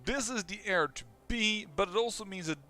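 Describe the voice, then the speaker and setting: A young man with a Dutch accent, casually commentating his own strategy game playthrough, excitable and quick, often restarting his sentences. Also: high-pitched, soft background music. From Netherlands. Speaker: let's play host.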